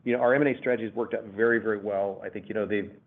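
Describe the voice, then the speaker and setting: A man's voice: lowish voice, steady tone, racing, phone-quality sound. A male finance executive giving unscripted answers on an earnings call, often restating his phrases as he goes. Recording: boxy phone conference line.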